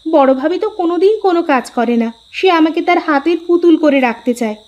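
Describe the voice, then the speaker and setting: A stubborn young daughter-in-law in a cartoon family drama, voiced by a woman. Her voice is very high and she argues and complains. The recording is slightly muffled. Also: lively intonation, fast, darkish tone. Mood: indignant. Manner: complaining, argumentative. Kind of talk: cartoon story dubbing.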